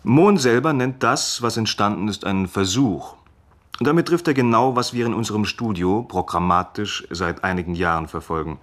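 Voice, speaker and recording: A man giving formal scripted radio commentary in measured phrases. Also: on the low side, fairly bright tone.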